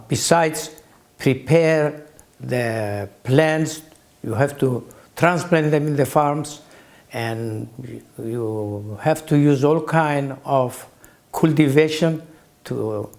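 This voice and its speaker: A man in his eighties with a Greek accent, recalling his early life as an interview guest in halting phrases with long pauses. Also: mid-range voice, a little mellow. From Greece. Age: 80s. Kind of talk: slow reflective recollection.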